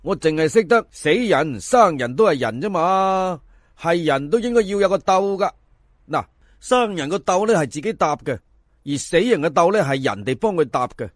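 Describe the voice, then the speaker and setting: A man acts out a superstitious male river boatman from a folk tale. He speaks earthy, colloquial Hong Kong Cantonese in a fairly high, very animated voice. He is plain-spoken and easily scared, and the sound is dry. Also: moderate pace, no room sound, natural voice. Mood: dismissive, matter-of-fact. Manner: colloquial, stammering when scared.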